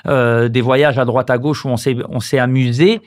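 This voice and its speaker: A reflective man from France in his thirties, looking back on his playing days in a relaxed podcast chat. He talks in an easy, mid-pitched voice, often pausing to search for words and restarting his sentences. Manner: reflective, frequent hesitations and restarts. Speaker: podcast guest, former pro footballer. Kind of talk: casual conversational interview.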